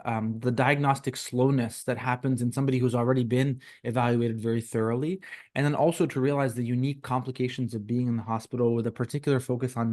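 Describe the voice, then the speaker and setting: A man speaks in a measured, deliberate way as he reflects on teaching points over a video call. His pitch sits in a middle range. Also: a little mellow. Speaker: co-host, teacher.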